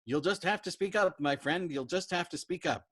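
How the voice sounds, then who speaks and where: A man's voice: high voice, normal speed, pitched above the performer's own voice. A man reading an audiobook, voicing an amiable, oblivious airman who calls out in tolerant, chiding tones. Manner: tolerant chiding, calling out loudly. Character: oblivious, amiable, podgy airman, maddeningly placid. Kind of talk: acted audiobook dialogue.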